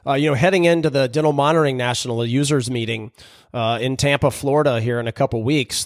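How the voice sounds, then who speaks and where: A man hosting a podcast in a casual, energetic monologue, his voice still a little raspy and hoarse from recent voice loss. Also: mid-range voice, no room sound, studio quality. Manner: energetic, conversational, frequent restarts. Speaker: podcast host.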